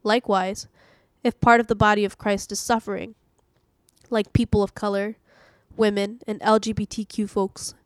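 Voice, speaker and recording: A woman's voice: medium pitch, dry recording. A woman hosting and narrating a scripted devotional reading. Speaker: host reading daily devotionals.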